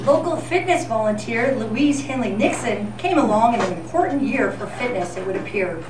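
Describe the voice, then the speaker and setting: A woman's voice: on the high side, animated, normal speed. Woman co-host, roomy and distant sound. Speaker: co-host of a live radio-themed tribute show. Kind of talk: scripted, tongue-in-cheek old-time radio news narration. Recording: distant echoey live event, noisy.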